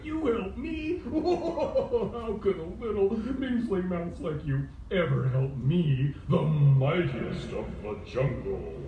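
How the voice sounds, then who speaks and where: A performer voicing a pompous, blustering lion, king of the jungle, in a theatrical children's puppet show with drawn-out boasts. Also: lively intonation, warm tone, a little hiss or hum. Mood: mocking. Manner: theatrical, swooping, drawn-out boasts and booming laughs. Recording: roomy, distant mic, music behind.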